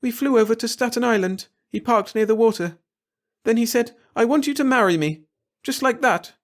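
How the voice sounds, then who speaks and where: A man's voice: very high-pitched, pitched above the performer's own voice. A man voicing a shocked, tearful young woman, a dramatic daughter in a storybook, with an upset delivery. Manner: halting, upset, breathless delivery.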